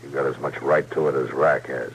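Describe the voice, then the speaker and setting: Very deep, gravelly frontier marshal. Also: male voice, steady tone. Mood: quiet, persuasive. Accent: American. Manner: measured, terse, quietly persuasive. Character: calm, weary, steadfast frontier US marshal. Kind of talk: old-time Western radio drama acting. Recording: noisy vintage radio recording.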